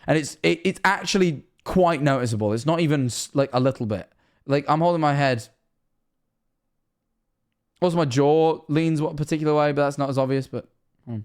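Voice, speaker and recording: Man, Scottish accent, 21, streamer, self-mocking, fairly high pitch, desk mic. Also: normal speed, pauses often. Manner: rambling, self-mocking, swears often. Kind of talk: casual reaction stream.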